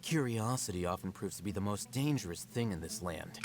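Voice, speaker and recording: A man's voice: on the low side, expressive, brisk, darkish tone, faint music behind. A man voicing an aloof, intellectual scholar in a video game, formal, detached and dryly condescending. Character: aloof, intellectual young male scholar, coolly sardonic.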